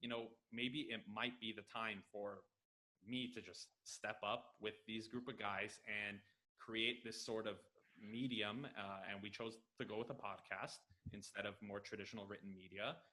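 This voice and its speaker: An American man from Los Angeles joins a podcast by headset from his home. His voice sits a little low, and he talks with eager, quick enthusiasm, sprinkling in fillers. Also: fairly even intonation, sharp tone, low-quality recording. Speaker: podcast guest. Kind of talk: casual interview answers. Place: home room, video call.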